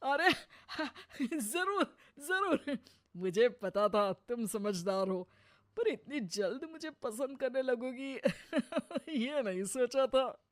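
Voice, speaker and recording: A woman's voice: sits high, expressive. Woman acting a drawling, lecherous older male neighbour. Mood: gleeful. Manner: leering, drawn-out filmi flirting and cackling. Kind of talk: cartoon moral story acting.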